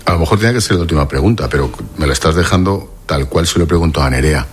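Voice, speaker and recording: Very deep-voiced male interviewer. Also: a little hiss or hum. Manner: direct, brisk questioning. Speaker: interviewer, reporter. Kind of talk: broadcast interview and reporting.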